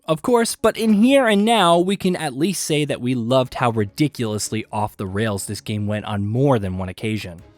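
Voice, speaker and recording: A man reading scripted video game list narration with an emphatic, punchy delivery and dramatic pauses. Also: medium pitch, lively intonation, no echo. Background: background music.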